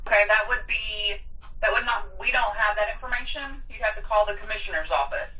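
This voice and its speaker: A woman's voice: medium pitch, a little fast, distant mic. A woman answering an office phone as a clerk, a little hesitant, heard over a lo-fi line. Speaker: district attorney office clerk. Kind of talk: phone call answering a query. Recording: muffled lo-fi telephone line.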